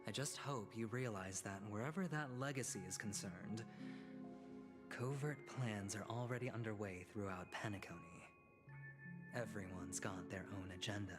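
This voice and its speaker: An actor playing a smooth, scheming young corporate negotiator and gambler in a video game, with sly, teasing pauses. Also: male voice, lowish voice, over loud music, close to an everyday voice. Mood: sly warning.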